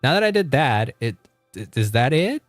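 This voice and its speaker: A man's voice: medium pitch, big pitch swings, normal speed, no echo, faint music behind. A man livestreaming a game, chatting casually with viewers in a rambling, informal way with some mild swearing.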